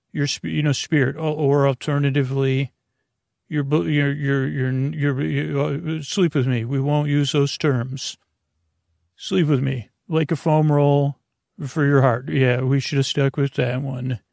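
Man, creaky voice, medium pitch, meandering bedtime podcast host, halting and digressive. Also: darkish tone, no room sound, clean studio sound. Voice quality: creaky, gravelly. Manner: halting, digressive, trailing off and restarting.

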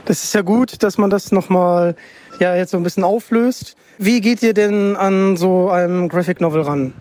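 A man's voice: high voice, normal speed, darkish tone. A man hosting a casual podcast interview. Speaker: podcast host. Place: book fair.

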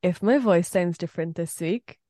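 A woman co-hosting a podcast in a chatty, giggly way, her voice a little deeper than usual after a night out. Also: normal speed, dark tone, no echo, studio quality. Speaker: podcast co-host. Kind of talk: casual chat. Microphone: podcast microphone.

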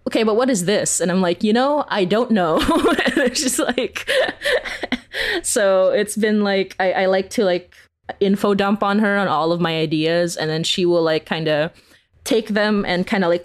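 A young adult woman chatting casually as an interview guest, giggly and often acting out imagined remarks. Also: lowish voice. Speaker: podcast creator and interview guest.

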